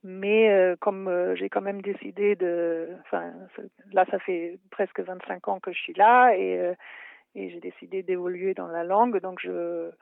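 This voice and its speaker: Woman, German accent, native German speaker, halting with many pauses, gravelly fry, dry tinny phone sound. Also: lowish voice, flat delivery, no room sound. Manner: hesitant, many filler pauses. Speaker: photography historian and translator. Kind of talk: interview answers.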